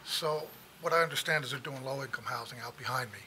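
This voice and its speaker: Male resident at the public mic: halting and unsure, bright tone, faint room noise. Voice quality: low voice. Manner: hesitant, halting, many fillers. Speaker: resident speaking at public comment. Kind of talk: public comment at a meeting.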